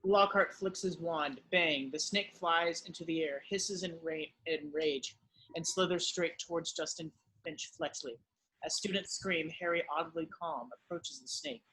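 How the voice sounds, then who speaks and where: A man giving scripted narration, reading the stage directions of a drama in a husky voice. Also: on the high side, unhurried, pushed and bright, rough recording.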